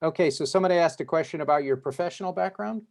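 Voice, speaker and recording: A man's voice: fairly high pitch, racing, gravelly, darkish tone. A man interviewing a candidate in a loose, casual way, often tagging "right?" and "yeah" onto his questions.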